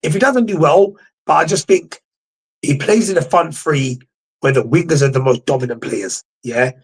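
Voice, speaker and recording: A young man gives his football opinions with confidence, dropping "bro" into nearly every sentence. His voice is husky but sits a little high. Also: moderate pace. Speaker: guest pundit, podcast host. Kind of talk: casual football debate.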